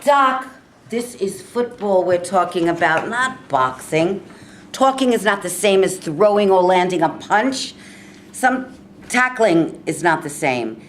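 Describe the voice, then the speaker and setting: A woman playing a stubborn, proud male college football coach in a staged reading, with an emphatic, deliberate delivery. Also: medium pitch, expressive, slight background noise. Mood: indignant.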